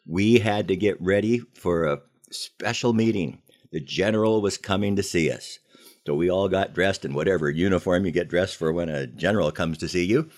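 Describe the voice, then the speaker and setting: An older American man telling personal stories in a conversational, folksy way, leaning deliberately on key phrases, as a podcast host. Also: low-pitched, darkish tone. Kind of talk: conversational storytelling with moral lessons.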